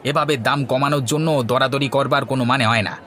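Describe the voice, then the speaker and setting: A man's voice: medium pitch, level tone, fast talker, sharp tone, close to an everyday voice. A man playing an earnest village chicken farmer in scripted cartoon dialogue. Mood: insistent.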